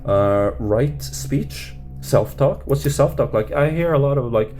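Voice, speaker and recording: A man teaching meditation off the cuff, rambling with many fillers and restarts and lifting his pitch at the end of questions. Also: expressive, unhurried, mellow. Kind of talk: unscripted teaching talk. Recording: music playing under voice. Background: guitar music.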